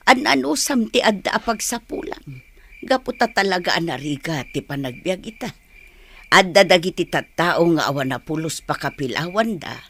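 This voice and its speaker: A woman playing a poor, gentle mother consoling her grown son in an audio drama. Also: lowish voice, a little hiss or hum. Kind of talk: Ilocano audio drama acting.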